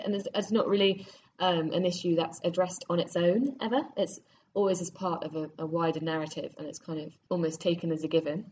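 Low-voiced thoughtful woman of twenty-five. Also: a little mellow, a little hiss or hum. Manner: thoughtful, giggly. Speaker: actor in the cast. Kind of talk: casual group interview. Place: rehearsal room.

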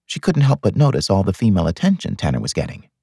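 A man narrates a novel expressively. His voice is fairly low and gravelly, with very wide swings of pitch, recorded dry. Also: wildly swooping pitch, quick pace, dark tone, no room sound. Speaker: audiobook narrator. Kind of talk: expressive scripted fiction narration.

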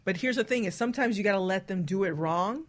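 A woman's voice: mid-range voice, quick pace. A woman co-hosting a radio talk show, speaking frankly and casually in a group chat. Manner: frank, explicit.

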